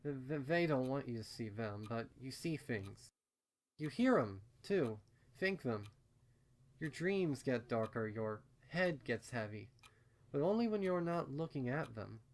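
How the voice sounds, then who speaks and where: A man's voice: mid-range voice, lively intonation, unhurried. A man voicing a nervous, rambling young female pony with a hesitant, stammering, earnest manner in a fan fiction story. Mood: ominous, hesitant. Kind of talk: acted fan fiction reading.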